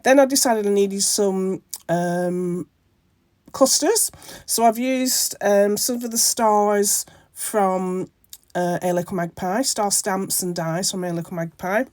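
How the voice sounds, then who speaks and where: Croaky woman with a cold. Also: medium pitch, takes it slowly, a little mellow, no echo. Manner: rambling, many ums and self-corrections. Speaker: craft channel host. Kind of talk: casual crafting tutorial narration.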